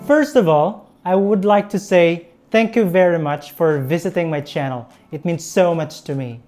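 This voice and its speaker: A woman's voice: on the low side, mellow, soft background music. A young woman with a Filipino accent, a native Visayan speaker, teaching an English lesson in a careful, encouraging way on a lapel mic. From Philippines. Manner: slow, careful, encouraging delivery.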